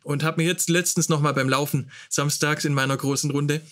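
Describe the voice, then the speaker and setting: Reflective man with a slightly high voice. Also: fairly even intonation, brisk, fairly bright tone. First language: German. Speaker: host teaching songwriting. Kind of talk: informal spoken lecture with personal reflections.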